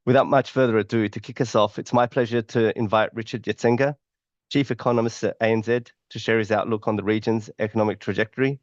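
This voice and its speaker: Low-voiced man moderating a webinar. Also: fairly even intonation, quick pace, no room sound. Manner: reads bios with small slips. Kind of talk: introducing speakers. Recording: dry, dull remote webinar audio.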